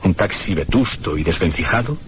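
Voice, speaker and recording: An actor playing an uneasy, curious traveller in a suspense radio drama, speaking in a formal, literary way. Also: male voice, low-pitched, expressive, brisk. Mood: startled, urgent. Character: uneasy, curious male traveller recounting a mysterious journey. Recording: radio drama with loud background noise.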